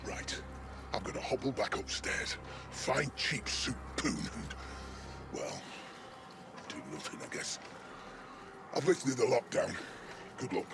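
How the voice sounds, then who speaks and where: A wounded technician stuck underground in a sci-fi game. He is weary and foul-mouthed, grumbling along in rambling slang at a middling pitch. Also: expressive, slow pace, pauses often, over music. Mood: explanatory, then resigned. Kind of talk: video game character dialogue.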